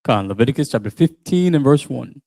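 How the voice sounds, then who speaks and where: A man reading old scripture in a steady, formal manner. His tone is mellow and warm. He sounds distant from the microphone. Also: mid-range voice, a little fast, creaky. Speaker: scripture reader.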